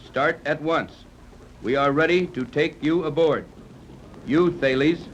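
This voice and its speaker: An actor playing a confident, decisive ship captain in a 1930s radio adventure serial. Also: male voice, on the high side, fairly bright tone. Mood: stern, deliberate. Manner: decisive, clipped orders. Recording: noisy 1930s radio recording.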